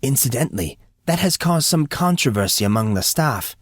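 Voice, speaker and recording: A man's voice: mid-range voice, big pitch swings, moderate pace, slightly rough, no room sound, studio quality, pitched above the performer's own voice. A man voicing an amused, courteous school employee who speaks formally and precisely, patiently explaining. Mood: dryly amused.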